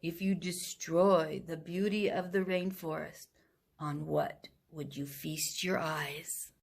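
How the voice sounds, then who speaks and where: A woman voicing a slow female sloth in a storybook, with a deep, lazy, drawn-out voice. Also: steady tone, creaky, heavily acted. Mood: languid. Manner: drawn-out, plodding delivery.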